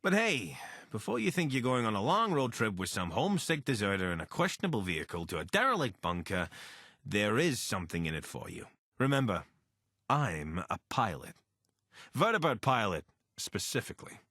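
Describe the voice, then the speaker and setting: Wry, anxious military deserter and pilot for a game companion role; low voice, very mobile intonation. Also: male voice, big pitch swings, normal speed, bright, forceful tone, no echo, studio quality, heavily acted. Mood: persuasive. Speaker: voice actor for a companion character. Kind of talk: video game character dialogue.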